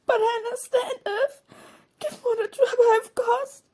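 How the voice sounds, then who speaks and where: Young schoolgirl, extremely high-pitched, tearful, frightened, apologetic, audio drama. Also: fairly even intonation, moderate pace, dark tone, a little hiss or hum. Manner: pleading, sobbing delivery.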